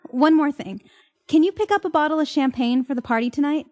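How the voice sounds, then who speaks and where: Woman acting young wife, audio play, affectionate, teasing, upbeat, fairly high voice, long echoing reverb. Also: brisk, a little mellow, close to an everyday voice. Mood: excited, loving.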